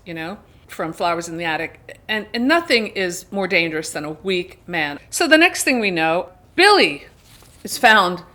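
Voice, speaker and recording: A woman's voice: mid-range voice, sharp tone. Chatty woman discussing a book. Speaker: book reviewer and video host. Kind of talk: casual book discussion, retelling a story to camera.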